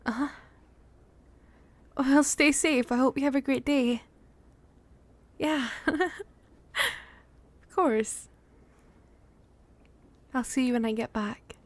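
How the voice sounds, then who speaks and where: A woman playing a tender, excitable young woman in an improvised ASMR roleplay, hesitant and soft, slipping into whispers. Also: high-pitched, takes it slowly, pauses often, no room sound. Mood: friendly, hesitant. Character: young woman nervously leaving her hometown, tender and excitable.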